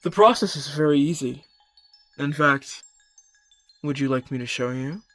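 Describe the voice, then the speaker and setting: A man playing a calm, persuasive magical creature specialist in an audio roleplay, speaking directly to the listener in a measured, coaxing and instructive way, with music behind him. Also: fairly high pitch, no room sound. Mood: explaining, assured. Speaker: voice actor.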